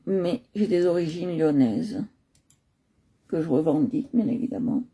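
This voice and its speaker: A woman's voice: low voice, lively intonation, measured pace, warm tone, slightly rough. A woman from Nice hosting a live coloring stream, chatting casually with many hesitations and fillers, talking into a computer at home. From Nice, France.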